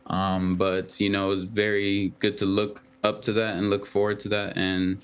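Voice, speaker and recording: A young man, a professional footballer and a Twi speaker, giving halting, soft-spoken interview answers full of ums. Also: lowish voice, monotone, clean tone. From United States.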